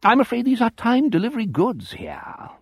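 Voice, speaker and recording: A man's voice: very high-pitched, huge pitch swings, moderate pace, slightly rough, no room sound, studio quality, voice pushed up, exaggerated voice. A man voicing a sinister, ghoulish lord who poses as a foppish aristocrat, speaking in a put-on posh English accent with mock-cheerful menace. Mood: mock-pleasant. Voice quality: affected, plummy, theatrical.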